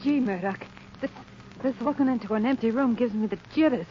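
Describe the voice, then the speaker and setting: A woman's voice: a little high, a little fast, over loud music, lightly acted. An actress playing an uneasy female gangster accomplice in a 1930s radio drama, with edgy, slangy talk. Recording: muffled 1930s radio recording.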